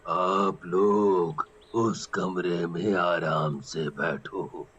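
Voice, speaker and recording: A man playing an eerie old man in a horror cartoon, hushed and breathy, drawing out his words. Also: low voice, fairly even intonation, lo-fi sound, full character voice. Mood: eerie politeness. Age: older. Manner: slow, drawn-out, hushed. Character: slow, eerie old man at a ghostly wedding house.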